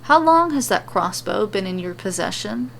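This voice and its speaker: Through clear noise comes a solemn male monk read by a woman in a storybook tale. He is stern, measured and formal, with no humor. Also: mid-range voice, expressive, a bit bright. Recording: clearly noisy recording.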